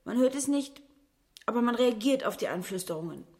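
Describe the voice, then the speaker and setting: A woman's voice: on the high side, expressive, brisk, mellow. An actress playing a stubborn, tough young female cyber agent in a radio drama, terse and insistent. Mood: terse, urgent.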